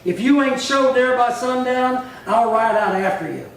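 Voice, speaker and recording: A very high-voiced, vengeful gunslinger played by a man in a western radio play. He taunts and threatens, heard at a distance in a very echoey space. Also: normal speed. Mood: cold threat. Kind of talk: old-time western radio acting. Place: live theatre stage performance. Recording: roomy, distant stage pickup with faint noise.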